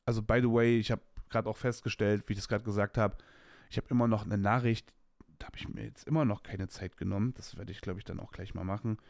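Podcast host, male, late 20s; rambling candid monologue, lowish voice, dry close mic. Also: fairly even intonation, quick pace, vocal fry, darkish tone, no echo. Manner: rambling and candid, with restarts and slang. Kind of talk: casual personal monologue.

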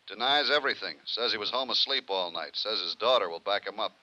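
A man's voice: lowish voice, expressive, fast, pushed and bright. An actor playing a no-nonsense policeman who reports in clipped, businesslike lines in a 1950s radio drama. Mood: matter-of-fact. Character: brisk, no-nonsense male police officer. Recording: dull 1950s radio recording.

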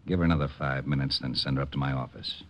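An actor playing a dry, matter-of-fact police homicide lieutenant in a vintage radio drama. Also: male voice, extremely low pitch, fairly even intonation, quick pace, a bit bright. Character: dry, seasoned male New York police homicide lieutenant. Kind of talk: 1940s-50s radio detective acting.

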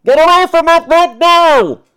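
A man's voice: very high voice, unhurried, sharp tone, pitched above the performer's own voice, full character voice. A man voicing a bossy, scolding woman who sternly announces punishments in clipped, repetitive commands.

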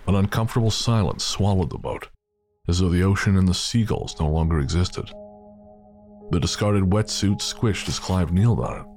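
A man narrating an audio drama in a measured, somber storytelling manner. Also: deep voice, darkish tone, faint music behind. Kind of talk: scripted narration.

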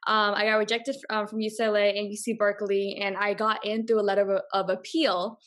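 A woman's voice: mid-range voice, little pitch movement, fairly quick, a bit bright. A woman, talking casually and passionately as an interview guest, full of "like" fillers. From Boston, US. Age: 30s. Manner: chatty, passionate, many "like" fillers. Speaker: guest, coffee company founder.

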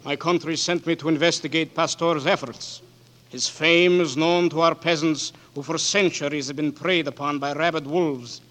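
A man's voice: a little high, steady tone, normal speed, a bit bright, natural voice. A male actor in a 1940s radio drama playing a dignified Russian envoy who speaks in formal oratory. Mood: resolute. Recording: reverberant hall, old radio recording.

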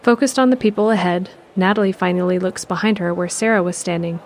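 Measured woman narrator. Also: fairly low pitch, gravelly. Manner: calm, measured, descriptive. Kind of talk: scripted narration.

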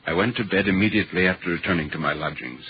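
An actor playing an earnest, concerned gentleman writer in a 1940s radio ghost drama, speaking with measured, deliberate literary phrasing. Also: deep voice, a little hiss or hum, natural voice. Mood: brooding. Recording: muffled, worn 1940s radio recording.

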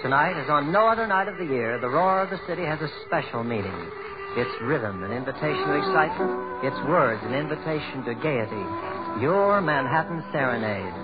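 A man's voice: fairly high pitch, expressive, a little fast. An American man delivering scripted announcements as host of a 1940s radio show. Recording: muffled vintage 1940s radio, loud music.

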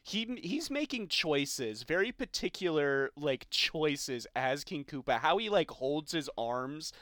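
A man's voice: on the high side, very animated, takes it slowly, fairly bright tone, dry recording, studio quality. A man co-hosting a podcast, chatting casually and informally about movies. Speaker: podcast co-host.

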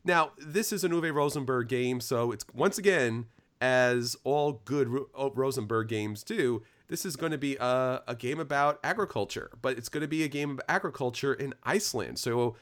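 A man's voice: mid-range voice, normal speed. A man co-hosting a podcast, talking in a casual, chatty and enthusiastic way with frequent restarts. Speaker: podcast co-host. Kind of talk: casual chat.

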